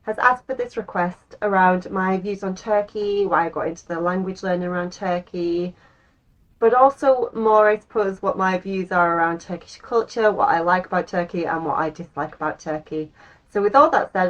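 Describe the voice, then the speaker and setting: An English woman in her thirties talks straight to camera as the host of her own channel. Her voice sits a little low, and she chats in a loose, rambling way, often pausing on an um. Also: fairly bright tone, highs cut off. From England. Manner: chatty, rambling with frequent ums. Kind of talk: casual talk to camera.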